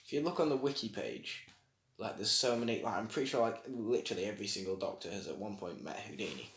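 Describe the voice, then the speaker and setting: A man's voice: lowish voice, quick pace, fairly bright tone. A man co-hosting a casual review podcast, sarcastic, swearing and trailing off mid-thought. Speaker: podcast co-host. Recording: echoey room.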